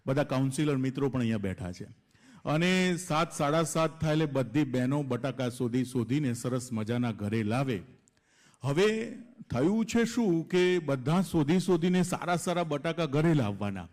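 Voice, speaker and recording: A man's voice: fairly high pitch, animated, normal speed, a little mellow. A man working as an event anchor, addressing an audience with light humour in a conversational, questioning manner. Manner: conversational, rhetorical questions to listeners. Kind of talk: humorous stage anchoring to an audience.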